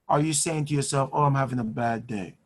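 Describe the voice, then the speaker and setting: A man's voice: mid-range voice, a little fast. A man hosting a live video call, giving an emphatic motivational talk and repeating his phrases for effect. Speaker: host and finance mentor.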